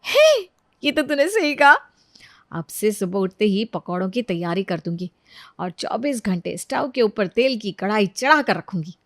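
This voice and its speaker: A woman's voice: mid-range voice, sing-song, moderate pace, dry recording, studio quality. A woman voicing an overworked, exasperated young daughter-in-law in a storybook, complaining in a whiny, dramatic way. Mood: pleased, scheming. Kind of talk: acted Hindi audio storybook dialogue.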